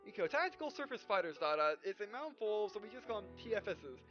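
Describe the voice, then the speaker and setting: Dull lo-fi sound, woman, halting let's play narration, stumbling read-aloud, music behind. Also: mid-range voice, very animated. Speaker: gaming video host. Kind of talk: let's play commentary, reading game dialogue aloud. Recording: dull, muffled lo-fi microphone. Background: game music.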